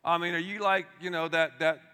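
Male pastor, loud and bright, animated delivery, long church reverb. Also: a little high, a little fast, clean tone. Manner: energetic, conversational preaching with audience asides. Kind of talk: sermon. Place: large church auditorium.

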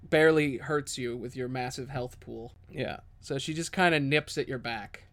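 A man's voice: mid-range voice, normal speed. A man hosting as game master, narrating a tabletop role-playing game in a casual but dramatic storytelling manner. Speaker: game master and show host.

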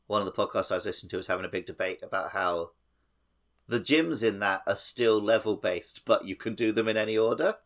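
Lowish-voiced man chatting on a podcast. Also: fairly quick, fairly bright tone. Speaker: podcast host. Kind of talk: casual gaming podcast chat.

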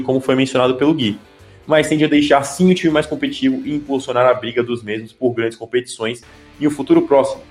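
Man, mid-pitch, halting podcast talker, roomy echo, faint music underneath. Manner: hesitant, frequent filler sounds and restarts. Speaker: podcast co-host. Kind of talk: casual chat.

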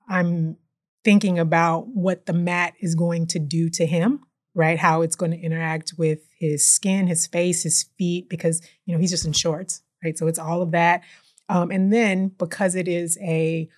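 Woman, podcast guest, low-pitched voice, chatty, keeps saying "right?", from Houston. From Houston, Texas. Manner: chatty, frequently tags sentences with "right?". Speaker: guest, company founder and chemist. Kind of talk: casual interview conversation.

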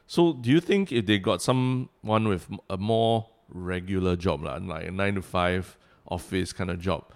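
A Singaporean man co-hosting a podcast, talking in a measured, agreeable way with frequent "lah". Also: low-pitched. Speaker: podcast co-host. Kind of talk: casual chat.

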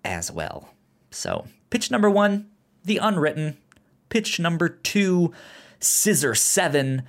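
A man hosting a podcast in casual chat, speaking haltingly and often repeating the starts of words. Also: on the high side, wildly swooping pitch, creaky, a bit bright. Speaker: podcast host.

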